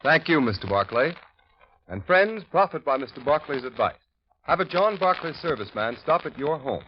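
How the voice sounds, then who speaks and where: A man's voice: on the high side, very animated, moderate pace, slight background noise. Emphatic old-time radio announcer, man. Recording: dull old radio recording with music.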